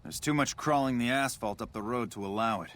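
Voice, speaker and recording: An actor voicing a frustrated, dutiful military officer in a video game, with a clipped, emphatic, bureaucratic delivery. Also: male voice, medium pitch, brisk, fairly bright tone, close to an everyday voice. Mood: relenting, businesslike. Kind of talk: video game character dialogue.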